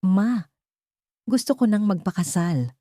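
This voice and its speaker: Woman reading as an earnest, mellow young man. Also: medium pitch, lively intonation, measured pace, no echo, clean studio sound, voice pushed up, natural voice. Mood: nervous, resolute.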